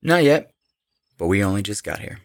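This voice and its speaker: A man's voice: lowish voice, sing-song, moderate pace, no echo. A man playing a laid-back rock musician with an interest in the occult in an audio horror drama. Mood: easygoing.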